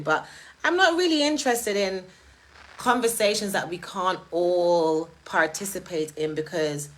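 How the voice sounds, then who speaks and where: A woman's voice: fairly low pitch, low-quality recording. A woman with a British accent hosting a live stream, talking casually to her chat and making her points with emphatic rhetorical questions. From United Kingdom.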